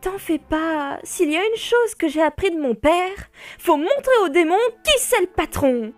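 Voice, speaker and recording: An optimistic young princess of hell voiced by a woman in a cartoon fan dub, earnest and a little pleading. She speaks at a very high pitch and takes her words slowly. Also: big pitch swings, faint music behind, heavily acted. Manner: eager, earnest, insistent.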